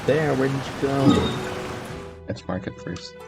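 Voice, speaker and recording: A man's voice: medium pitch, expressive, slow, creaky. A man giving casual game playthrough commentary in a distracted mutter. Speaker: gamer. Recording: faint muffled mic under loud game music.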